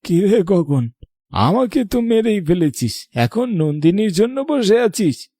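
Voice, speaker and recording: A man's voice: sits high, big pitch swings, slow, mellow, dry recording, clean studio sound, full character voice. A man playing an indignant old grandfather in a Bengali horror cartoon, scolding and reproachful. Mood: vengeful. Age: older. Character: indignant old grandfather, murdered, returns as vengeful ghost.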